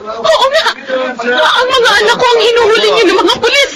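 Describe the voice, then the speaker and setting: A woman's voice: extremely high pitch, normal speed, heavy background noise. An actress playing a distraught, pleading widowed mother in a Filipino radio drama. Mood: distraught, crying. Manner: pleading, emotional. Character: distraught widowed mother of two troublesome sons.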